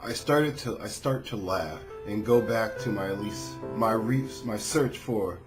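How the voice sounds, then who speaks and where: A man's voice: on the low side, measured pace. A man hosting a let's play, commenting and reading a visual novel aloud into a microphone over game music. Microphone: dedicated microphone. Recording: loud game music behind the voice.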